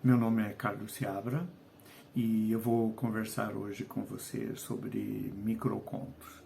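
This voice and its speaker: Older man, Portuguese accent blended with Brazilian, gravelly voice, conversational teacher, faint background hiss. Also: lowish voice, flat delivery, warm tone. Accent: Portuguese, softened by decades in Brazil. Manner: conversational, frequent tag questions, slows to stress key phrases. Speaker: writer and educator. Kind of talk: video lesson on short fiction.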